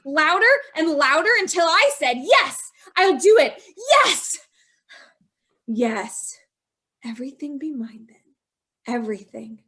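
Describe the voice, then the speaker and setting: A woman's voice: high voice, animated, slow, pauses often, pushed and bright, heavily acted. A woman playing a scheming, guilt-ridden young wife who confesses to murder in feverish, insistent old-time radio style. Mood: frenzied obsession. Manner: feverish, melodramatic, insistent repetition.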